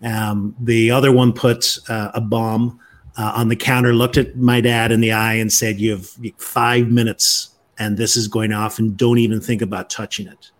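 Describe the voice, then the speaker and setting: A man's voice: on the low side, flat delivery. Man in his fifties. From Northern Ireland. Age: 50s. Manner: measured, frequent "uh" pauses. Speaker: podcast guest. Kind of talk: storytelling interview.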